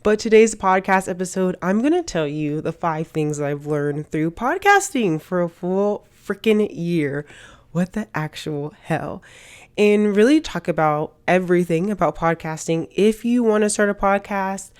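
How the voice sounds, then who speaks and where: A woman's voice: lowish voice, expressive, measured pace, darkish tone, smooth. A young woman hosting her own podcast, gushing and bubbly with hype-girl slang, sounding a little congested from a cold. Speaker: podcast host. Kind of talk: upbeat solo podcast monologue.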